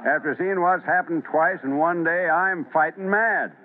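An actor playing a determined, indignant small-town newspaper editor in an old-time radio serial, speaking earnestly and emphatically. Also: male voice, on the high side, moderate pace, lightly acted. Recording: tinny, noisy old radio broadcast.

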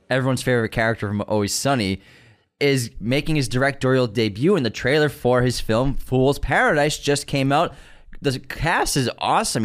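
Laid-back man co-hosting a podcast. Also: medium pitch. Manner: laid-back, laughs often. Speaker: podcast co-host. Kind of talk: casual chat about movie news.

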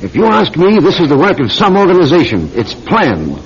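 Blustering high-pitched British lord. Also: male voice, wildly swooping pitch, moderate pace. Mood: insistent, alarmed. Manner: pompous, indignant. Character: blustering, imperious aristocratic newspaper lord. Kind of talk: old-time radio drama acting. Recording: dull old radio recording.

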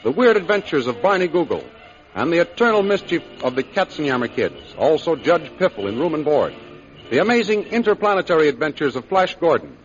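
A man's voice: on the high side, big pitch swings, brisk. A man announcing and narrating in a dramatic 1930s radio style, emphatic and showmanlike. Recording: muffled 1930s radio recording. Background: music.

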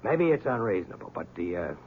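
A man's voice: on the low side, sing-song, a little fast. An actor playing an anxious American man who pleads urgently, in 1970s radio drama style. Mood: nervous, pleading. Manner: urgent, imploring. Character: anxious, pleading man trying to calm a gunman. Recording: muffled old radio recording with hum.

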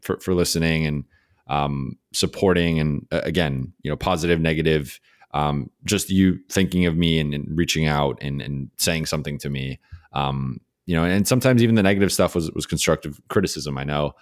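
A young man with a very deep voice hosting his podcast alone, speaking sincerely in a halting way with many fillers and restarts. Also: no room sound, clean studio sound. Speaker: podcast host, sports beat writer. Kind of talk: solo farewell podcast message.

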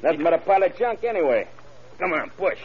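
A callous, bossy bandit, talks in slangy sneers with a fairly high voice that swings around a lot. It is radio crime drama on a muffled old recording. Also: male voice, sing-song, quick pace, slight background noise.

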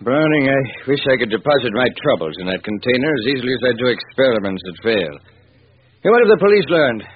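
A man's voice: mid-range voice, big pitch swings, quick pace, lightly acted. An actor playing a self-assured, skeptical male chemist with a breezy manner in an old-time radio drama, tinny and noisy. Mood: busy, breezy.

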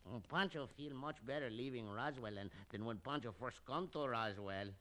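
An actor playing a jolly, boastful Mexican cowboy sidekick with exaggerated broken English in an old-time radio western. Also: male voice, mid-range voice, normal speed, sharp tone, lightly acted. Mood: earnest. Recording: noisy old radio recording.

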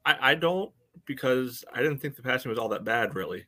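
A man in a casual sports discussion as a podcast co-host, speaking haltingly and often saying "you know". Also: medium pitch, expressive, sharp tone, no echo, studio quality.

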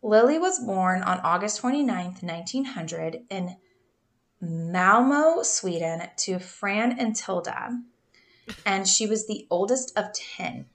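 A woman's voice: mid-range voice, animated, takes it slowly. A woman in her thirties co-hosting a podcast, telling a true crime story in a casual, chatty way, laughing often and stumbling over names.

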